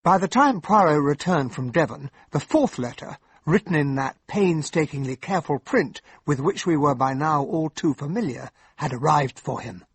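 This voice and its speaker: Earnest narrator, fairly high husky voice, dry close sound, detective's companion, radio drama. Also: male voice, lively intonation, normal speed, few pauses, no echo. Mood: grave narration.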